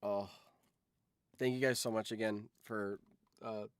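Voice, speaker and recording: A man's voice: lowish voice, steady tone, a little slow, smooth, no echo, clean studio sound. A man hosting a livestream in casual chat, rambling and swearing freely as he talks. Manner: rambling, profane. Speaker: livestream host.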